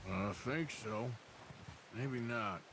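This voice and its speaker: A man's voice: medium pitch, very slow, very noisy. A man playing a rugged, wry frontier outlaw in a video game, with an American accent and a folksy, dry delivery. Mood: dry, casual. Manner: folksy, dry, plain-spoken. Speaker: outlaw gang member. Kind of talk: naturalistic video game acting. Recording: loud music bed behind dialogue.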